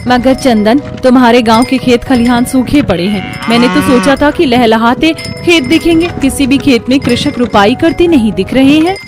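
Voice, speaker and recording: Woman acting plaintive young village wife, high-pitched. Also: normal speed, few pauses, music in the background, close to an everyday voice. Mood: earnest.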